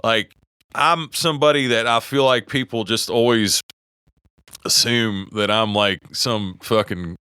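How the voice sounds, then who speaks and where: A man's voice: lowish voice, measured pace, sharp tone, clean tone, no room sound. A middle-aged man with a West Virginia accent, co-hosting a podcast in heated, profane casual talk, often stopping and restarting his sentences. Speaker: podcast co-host. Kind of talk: casual opinionated chat.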